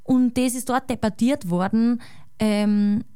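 An Austrian woman with a Salzburg accent, a guest chatting casually and thinking aloud, often ending with a quick tag question. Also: on the high side, steady tone, warm tone, clear, resonant tone, dry recording, studio quality. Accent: Salzburg Austrian German. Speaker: guest musician. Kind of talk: casual conversation.

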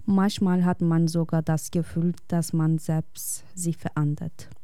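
A woman reads a prepared personal essay on air, calm and reflective, taking her time over every phrase. Her voice is warm and smooth. The sound is close and dry. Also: low-pitched, unhurried, no echo. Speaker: radio guest.

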